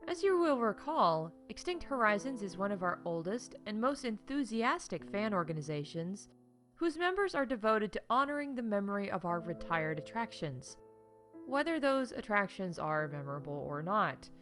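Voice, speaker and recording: A woman's voice: mid-range voice, sing-song, moderate pace, a bit bright, faint music behind. A woman playing a chatty, snarky announcer for a space theme park in a comedic audio show, reading scripted updates with sarcastic, candid asides. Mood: dry, mocking. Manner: wry, sarcastic, breaking off into asides.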